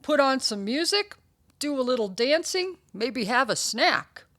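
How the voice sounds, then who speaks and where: A woman acts out an eager, sociable dog from a storybook, keen to host. The voice is raised and very lively, bouncing along in an expressive, inviting way. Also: high-pitched, unhurried, voice pushed up. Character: eager, sociable male pet dog throwing a party.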